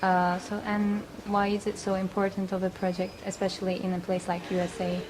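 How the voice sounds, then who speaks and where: A woman's voice: medium pitch, monotone, a little fast. A woman interviewing guests, asking questions politely with frequent fillers and short affirmations. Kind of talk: conversational interview. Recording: clear background noise.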